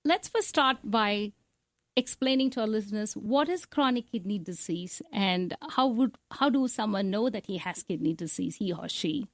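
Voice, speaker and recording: Woman doctor as host, measured questions, dry studio boom mic. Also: lively intonation, dry recording. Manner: scripted intro, asks careful questions. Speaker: host, physician. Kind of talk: health radio show hosting. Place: radio studio.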